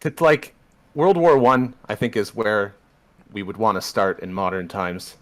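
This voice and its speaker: A young Canadian man from Southern Ontario, a podcast guest laying out history in a measured, explanatory way. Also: fairly low pitch, expressive, a bit bright. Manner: explanatory, measured, walks through history step by step. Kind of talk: casual discussion.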